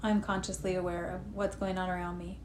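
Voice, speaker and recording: A woman guiding a relaxed live meditation session, gently talking, with personal asides, sniffly from allergies. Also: on the low side, a little fast. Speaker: meditation guide. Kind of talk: guided meditation talk. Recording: echoey room with faint noise.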